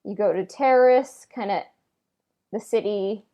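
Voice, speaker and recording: A woman's voice: medium pitch, a little slow, dark tone, dull audio. Casual woman co-hosting a podcast. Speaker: podcast co-host. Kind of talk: casual chat.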